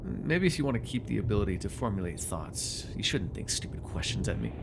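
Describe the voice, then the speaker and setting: A man's voice: lowish voice, big pitch swings, creaky, noisy background. A man playing a bitter, commanding telepathic villain who was once a hero, speaking with sardonic deliberation in an ASMR superhero roleplay. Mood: coldly coaxing, commanding. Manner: sardonic, deliberate, addressing a captive.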